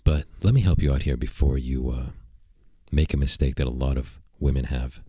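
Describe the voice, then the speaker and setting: A man with a very deep voice chats on his livestream from a computer desk. He rambles along and throws out crude, provocative jokes at his viewers. His voice sounds close and dry, with no room echo. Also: steady tone, normal speed, warm tone, breathy edge. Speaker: streamer and channel host. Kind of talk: casual livestream chat. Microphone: desk mic at a computer.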